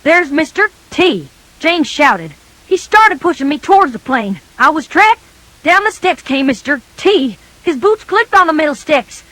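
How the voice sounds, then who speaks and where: A woman reading a scripted children's story in a folksy, animated storybook manner. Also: high-pitched, moderate pace, no echo.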